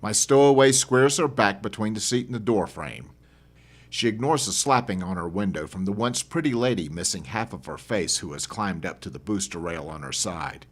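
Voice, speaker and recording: A man reads his own fiction as an audiobook narrator. His voice sits a little low. The delivery is dramatic, with lively, wide-ranging intonation in a first-person telling. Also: moderate pace. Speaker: author reading own novel as narrator.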